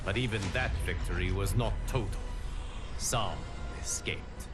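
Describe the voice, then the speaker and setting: Game narrator: solemn male guardian of timelines, lowish pitch, measured and formal, stern gravity, dramatic delivery. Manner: grave, formal, deliberate. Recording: music behind the voice. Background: music.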